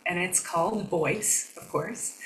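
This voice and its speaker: A woman guest speaking softly and conversationally, reflecting on her own experience. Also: on the low side, slow, reverberant, recorded from a distance, a little hiss or hum, low-quality recording. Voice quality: soft voice that does not carry. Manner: conversational, reflective, soft-spoken. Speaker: podcast guest. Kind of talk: conversational interview.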